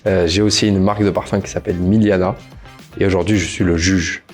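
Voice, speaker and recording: A man introducing himself as a guest judge, speaking calmly with a few hesitations. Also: low voice, fairly quick, soft background music.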